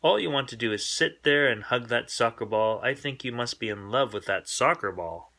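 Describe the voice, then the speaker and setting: A man's voice: medium pitch, moderate pace, bright, forceful tone. A man voicing a young, bossy girl bear cub in a storybook, with emphatic delivery. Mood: irritated. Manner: complaining, emphatic.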